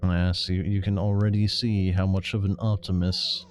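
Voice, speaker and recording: A man's voice: deep voice, fairly even intonation, normal speed, soft background music. A man streaming a game, commenting casually and reading text aloud.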